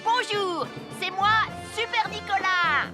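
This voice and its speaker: A woman's voice: extremely high pitch, slow, loud music behind the voice. A woman voicing a cocky, mischievous little boy in a French children's cartoon dub, brash and theatrical.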